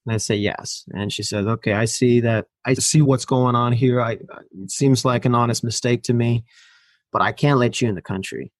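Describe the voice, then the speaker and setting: Finnish man telling an animated story. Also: mid-range voice, normal speed, no room sound. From Finland. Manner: animated storyteller who acts out quoted lines and laughs often. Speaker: podcast guest. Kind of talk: casual storytelling interview.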